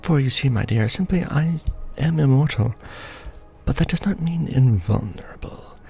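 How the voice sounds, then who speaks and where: A man's voice: mid-range voice, expressive, normal speed, vocal fry, dark tone, slightly rough, soft background music, exaggerated voice. A man playing an ancient, pompous, scheming vampire lord in a theatrical, courtly ASMR roleplay monologue. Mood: scheming, intense.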